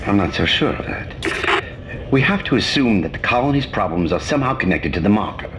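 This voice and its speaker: Actor as grave, worried low-voiced doctor. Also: male voice, animated, brisk, natural voice. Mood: troubled. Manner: grave, earnest. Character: worried, grave ship's doctor questioning his faith. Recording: noisy ship ambience.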